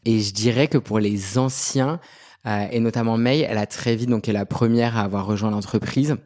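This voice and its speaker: Man in his thirties, lowish voice, chatty, lots of fillers and restarts, from brittany. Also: steady tone, brisk, a little mellow. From Brittany, France. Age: 30s. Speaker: guest, pet specialist and agency head. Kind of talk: casual interview talk.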